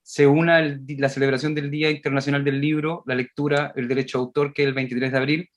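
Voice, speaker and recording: A man hosting a video call talk, animated and repeating phrases for emphasis. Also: mid-range voice, quick pace. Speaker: host. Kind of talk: moderating a casual conversation.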